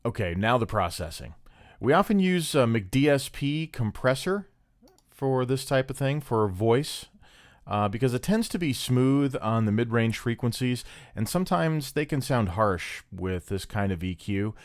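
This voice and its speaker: A man's voice: mid-range voice, animated, a little slow, no echo, studio quality. A man casually explaining an audio production technique in a tutorial style.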